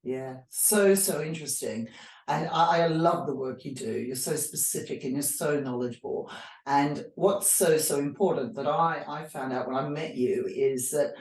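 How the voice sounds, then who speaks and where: A woman's voice: deep voice, moderate pace, a little mellow. A woman hosting a conversational interview in an effusive, complimentary manner. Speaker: host of mental health chat show. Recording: roomy echo, distant from mic.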